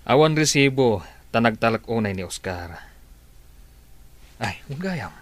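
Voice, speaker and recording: A man playing a calm friend who gives steady advice in a radio drama. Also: medium pitch, a little slow, dry recording, close to an everyday voice. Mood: advising.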